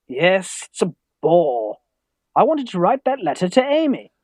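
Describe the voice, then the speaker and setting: An actor playing a timid, earnest young English gentleman student in a theatrical Victorian farce, polite, anxious and hesitant. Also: high-pitched, very animated, measured pace, breathy edge, dry recording, studio quality, heavily acted. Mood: fretful, earnest. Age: young adult. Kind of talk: theatrical radio farce acting.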